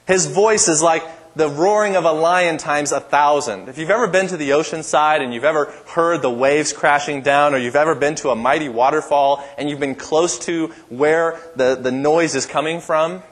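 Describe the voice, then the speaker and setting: A pastor delivering a sermon in a church with a strong, powerful voice and an emphatic, repetitive preaching cadence. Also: male voice, a little high, moderate pace, few pauses.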